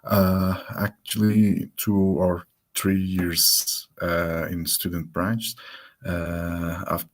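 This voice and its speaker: A man from Turkey with a Turkish accent, moderating a webinar and speaking haltingly with filler sounds. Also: deep voice, flat delivery. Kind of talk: webinar talk.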